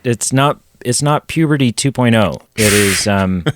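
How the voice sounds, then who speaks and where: A man co-hosting a casual podcast chat, going hoarse as he talks, rambling with quick self-deprecating asides. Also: medium pitch, animated, noisy background. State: losing his voice. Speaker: podcast co-host.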